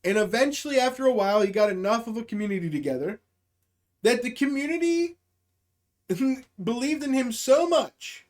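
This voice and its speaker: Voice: medium pitch, animated, normal speed. A live stream comedy host telling stories casually to chat, with slangy, profane language and acted-out quotes.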